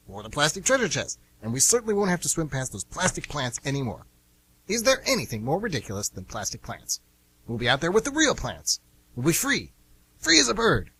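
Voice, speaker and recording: An eager goldfish schemer, acted by a man in a comic radio play. The voice is husky and swings widely in pitch, with earnest, declamatory speeches about grand plans. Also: a bit bright, exaggerated voice, rough recording. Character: eager, scheming male pet goldfish dreaming of freedom.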